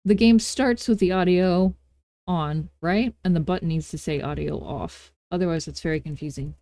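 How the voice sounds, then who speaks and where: A woman's voice: fairly low pitch, normal speed, gravelly, dark tone, no room sound. Woman giving a playful tutorial, dry sound. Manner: playful, self-deprecating, informal. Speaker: tutorial host. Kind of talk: casual screen-recorded software tutorial.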